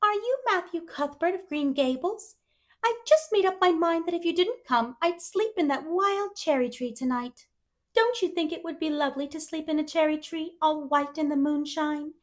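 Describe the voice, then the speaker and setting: A woman's voice: sits high, normal speed, voice pushed up, lightly acted. A woman voicing a talkative, dreamy eleven-year-old orphan girl with effusive wonder and dramatic emphasis, in a storybook reading. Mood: eager, hopeful.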